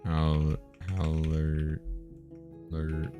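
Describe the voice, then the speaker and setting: A man's voice: extremely low pitch, monotone, very slow, dark tone, faint music behind. A man livestreaming a game, chatting casually with goofy, profane banter. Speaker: livestreamer reading an interactive story game aloud. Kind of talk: casual joking chat and read-aloud.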